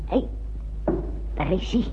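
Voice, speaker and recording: Actress as a slow, wry spacewoman, muffled sound. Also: close to an everyday voice. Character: wry female spaceship crew member. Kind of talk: radio drama acting. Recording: muffled, faintly noisy old radio recording.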